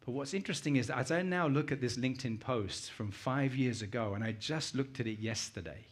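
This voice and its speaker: A middle-aged British man presents to a conference audience in a conversational way. His voice sits at a medium pitch, and he talks informally, like someone sharing personal stories and putting questions to the room. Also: a little fast, breathy edge. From United Kingdom, born in Uganda. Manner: conversational, anecdotal, puts questions to listeners. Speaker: guest conference speaker.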